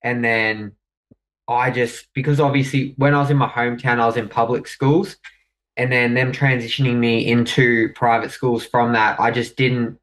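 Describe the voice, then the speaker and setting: A man's voice: medium pitch, flat delivery, normal speed, fairly bright tone. Reflective young Australian man, slightly distant. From New South Wales, Australia. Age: young adult. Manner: reflective, hesitant, with fillers. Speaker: guest. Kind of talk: personal story in conversation.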